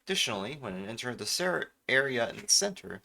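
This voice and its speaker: A man's voice: mid-range voice, big pitch swings, quick pace, gravelly, pushed and bright. A man giving casual, offhand live commentary as he streams a game, often talking to himself. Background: game music.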